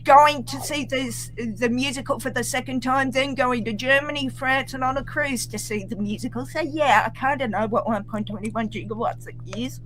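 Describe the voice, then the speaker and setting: A woman on a live trivia stream, trading teasing, sharp-tongued banter with the panel over a video call. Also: high-pitched, normal speed, few pauses, a little hiss or hum, poor audio. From Australia.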